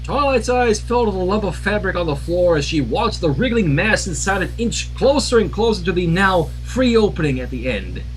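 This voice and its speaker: A man reading fan fiction aloud as animated narration, with casual asides. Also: high voice, moderate pace, few pauses, a little hiss or hum, low-quality recording.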